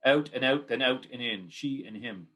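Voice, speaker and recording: A man's voice: medium pitch, moderate pace, sharp tone. A man with a Canadian accent giving a poetry reading of his own work in short, clipped, repeating phrases.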